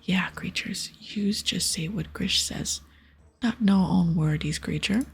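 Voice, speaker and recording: A woman's voice: fairly low pitch, soft background music, heavily acted. A woman voicing a dim, worried male ogre in whispered, broken baby-talk for a game. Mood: gruff, cautioning. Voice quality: whispered, breathy. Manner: slow, broken childlike grammar.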